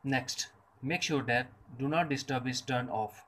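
A man's voice: medium pitch, a little slow, fairly bright tone. A man reading a scripted step-by-step tech tutorial in short, separated instructional phrases. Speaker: tutorial presenter.

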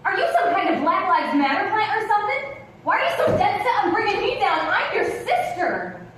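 A woman's voice: very high-pitched, very animated, normal speed, a bit bright, exaggerated voice. Actress as defensive, condescending veteran policewoman, echoey stage. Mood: defensive, indignant. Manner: patronizing, calls people darling and hun. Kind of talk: live stage play acting. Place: theatre stage with rows of seats. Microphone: distant camera. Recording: echoey theatre stage from far off, noisy.

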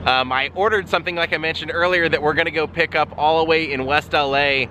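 A man's voice: a little high, steady tone, quick pace, bright, forceful tone. A man in his thirties, a travel vlogger talking casually to a handheld camera outdoors, telling a story while his voice starts to go. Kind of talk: casual vlog narration. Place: outdoors on a sunny street. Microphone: handheld camera at arm's length. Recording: outdoor traffic noise behind.

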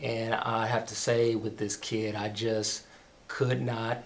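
A man's voice: fairly low pitch, flat delivery, takes it slowly, a little hiss or hum. A man in his thirties with an American accent, reviewing films conversationally as a co-host in a small room.

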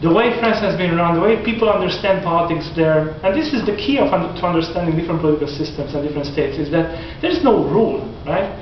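A man in his forties giving a classroom lecture, animated and gesturing, often restarting his sentences. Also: a little high, fast, hardly any pauses, slight background noise. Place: classroom in front of whiteboard. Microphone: far camera. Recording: echoey classroom from far off, dull lo-fi.

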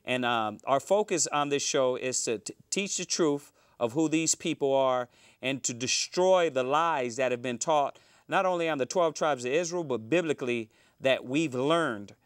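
A man in his thirties hosts a live cable talk show from a small studio. His voice is smooth and clear at a middling pitch, and the sound is dry and close. He rambles, restarting often with fillers. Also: moderate pace, no echo. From Houston, Texas. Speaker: host.

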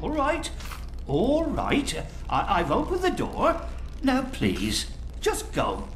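A man's voice: very high voice, wildly swooping pitch, slow, exaggerated voice. A man playing a harried, reluctant video game character, pleading and stammering as he gives in. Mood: pleading, exasperated. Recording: echoey room, faint background noise.

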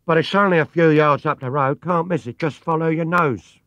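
A man's voice: a little high, brisk, a bit bright, no room sound, dull audio, pitched above the performer's own voice, natural voice. A man voicing a friendly, chatty working-class London cab driver. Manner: chatty and matey.

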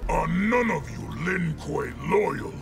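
Gravelly, booming warlord. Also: male voice, very animated, slow pace, music in the background, full character voice. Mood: menacing. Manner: menacing, imperious. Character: brutal, arrogant armored warlord general. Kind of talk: video game fight dialogue.

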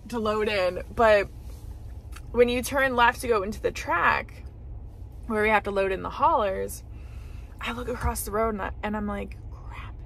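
A woman's voice: creaky, a bit bright. An American woman in her thirties chatting casually and playfully to a vlog camera held at arm's length in a truck. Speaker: vlogger and host. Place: inside a moving pickup truck. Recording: vehicle rumble under the voice.

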